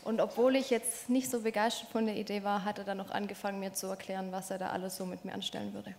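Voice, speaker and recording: Woman, twenties, flat monotone voice, subdued testimony, reverberant hall. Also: mid-range voice, fast, vocal fry, slight background noise. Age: 20s. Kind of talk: spoken personal testimony. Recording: long reverb in a large hall.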